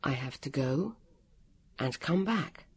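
A narrator voicing Vivian's part in an audiobook, an anxious, excitable young girl who speaks in a breathless, halting way. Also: medium pitch, breathy edge, dry recording, full character voice. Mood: secretly excited.

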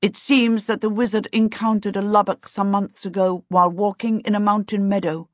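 A woman voicing a tall, calm male elf who speaks in a measured, precise and coolly polite way. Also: mid-range voice, no echo, natural voice. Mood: calm formal. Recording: dull, dry sound.

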